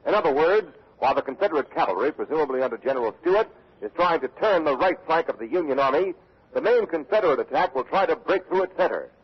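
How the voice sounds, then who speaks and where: Field correspondent: brisk, formal, slightly high animated delivery, vintage radio drama. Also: male voice, husky. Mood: brisk, serious. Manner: formal, measured reporting, dry questioning. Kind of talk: 1940s radio acting. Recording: muffled old 1940s radio recording.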